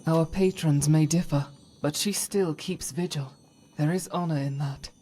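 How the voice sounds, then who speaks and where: A woman's voice: low voice, fairly even intonation, unhurried. A woman voicing a vigilant adventurer companion in a fantasy game, grave, wary and formal. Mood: solemn. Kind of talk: dramatic fantasy game acting. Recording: loud background ambience and noise.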